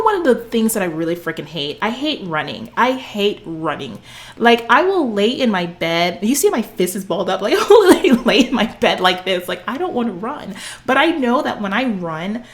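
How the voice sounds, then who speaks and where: A fitness coach in her forties speaks straight to the camera, sassy and preachy, calling her listeners honey and girl. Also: a little high. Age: 40s. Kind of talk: direct-to-camera coaching talk.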